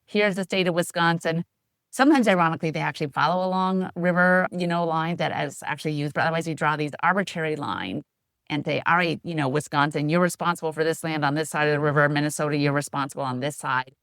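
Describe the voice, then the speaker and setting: A woman's voice: sits low, fast, a bit bright, dry recording, clean studio sound. A middle-aged woman co-hosting a podcast interview, talking loosely and thinking aloud with fillers. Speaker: podcast co-host. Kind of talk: conversational interview.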